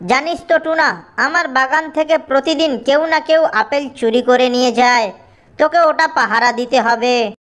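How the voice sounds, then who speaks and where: A woman's voice: sits high, normal speed, fairly bright tone. A woman voicing a grumpy, possessive jackal in an animal cartoon, scolding and bossy.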